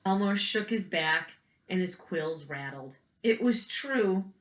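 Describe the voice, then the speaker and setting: A woman reads a children's picture book aloud expressively, pausing to chat about the pictures as if to young children. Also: fairly low pitch, animated, a bit bright. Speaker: read-aloud storyteller. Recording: roomy, echoing indoor recording.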